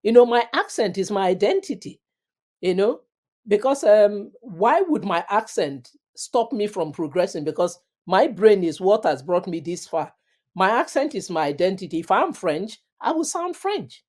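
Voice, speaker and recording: A woman's voice: medium pitch, sing-song, moderate pace, a little mellow. A middle-aged woman with an African accent, telling her career story in an interview in an earnest, emphatic way and repeating phrases for stress. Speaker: interview guest. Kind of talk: reflective personal storytelling.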